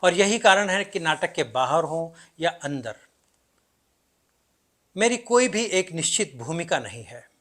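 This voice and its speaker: A man acting an enigmatic stage everyman, higher-pitched. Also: expressive, fairly bright tone. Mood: resigned, philosophical. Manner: deliberate, dramatic pauses and emphatic swings. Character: enigmatic, self-doubting everyman narrator of a stage play. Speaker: actor performing a play monologue. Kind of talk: theatrical stage monologue, addressing the audience directly.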